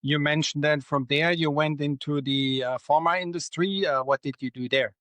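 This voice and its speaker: A man's voice: fairly high pitch, fairly even intonation, moderate pace, no echo. A man hosting a podcast, reading a scripted intro and sponsor message and then asking his guest simple questions in a friendly way. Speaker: podcast host. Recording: loud music behind the voice.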